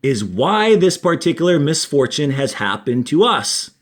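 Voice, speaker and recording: A storybook town leader, male and pensive, voiced by a man reading aloud. He grumbles in formal, faintly pompous phrases. His speech comes slowly and haltingly, with expressive rises and falls. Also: a little high. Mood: puzzled, displeased.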